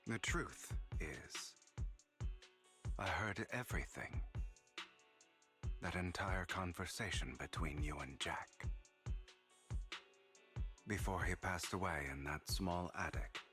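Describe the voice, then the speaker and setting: An actor playing a sly, proud rebel in a video game, speaking in a theatrical, taunting, deliberate way. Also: male voice, sits low, pushed and bright, full character voice. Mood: wry, bitter. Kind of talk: dramatic video game voice acting. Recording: music behind the voice.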